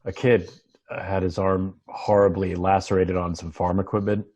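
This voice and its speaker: A man reading the part of a troubled, earnest former doctor in a dramatic table read, halting and reflective. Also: deep voice, little pitch movement, darkish tone. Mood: reflective, resolute. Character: troubled, earnest male former doctor seeking a fresh start.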